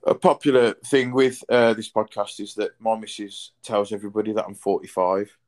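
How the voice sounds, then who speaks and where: A man's voice: fairly low pitch, fairly even intonation, normal speed, a little mellow. A man, hosting a podcast in casual chat, rambling with lots of fillers and casual swearing. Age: 30s. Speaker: podcast host.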